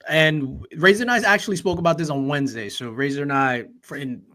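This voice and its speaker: A man's voice: a little high, a little fast, bright, forceful tone. A man hosting a livestream, earnestly explaining his side at length with fillers. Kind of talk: casual chat.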